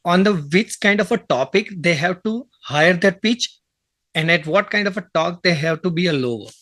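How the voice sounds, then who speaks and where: A man's voice: sits high, expressive, a bit bright, dry recording, studio quality. Man hosting, brief agreeable replies. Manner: brief, agreeable backchannels, halting phrasing. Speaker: podcast host. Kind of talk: casual interview chat.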